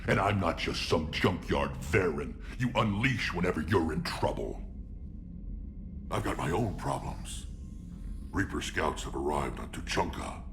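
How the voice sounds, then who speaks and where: An actor playing a proud, hulking male alien warlord in a video game, blunt and defiant, with a gravelly growl. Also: low-pitched, lively intonation, a little slow, background noise.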